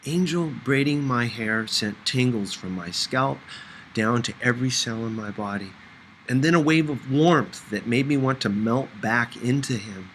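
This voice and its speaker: A man's voice: mid-range voice, expressive, measured pace, fairly bright tone, a little hiss or hum, poor audio. A man narrating a first-person novel as an audiobook reader, in a scripted storytelling style.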